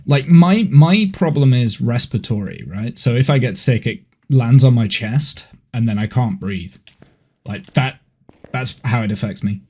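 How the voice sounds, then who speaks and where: A man's voice: medium pitch, animated, unhurried, darkish tone, breathy edge. A man in his thirties with a head cold, chatting casually and rambling as he streams a horror game from home. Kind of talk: casual gameplay chat on a live stream.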